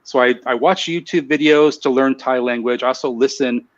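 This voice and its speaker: A male host chatting easily on a live show, at a middle pitch for a man. His voice sounds slightly muffled and dull, and he often circles back and restarts his sentences. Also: a little fast. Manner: easygoing, chatty, with hesitant restarts. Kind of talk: casual interview chat.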